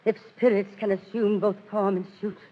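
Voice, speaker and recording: Woman acting a husky, fervent disguised heroine. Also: mid-range voice, little pitch movement, normal speed, natural voice. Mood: awed. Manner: declamatory, fervent. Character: earnest young heroine disguised as a boy. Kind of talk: 1930s radio Shakespeare acting. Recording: muffled, reverberant old radio recording.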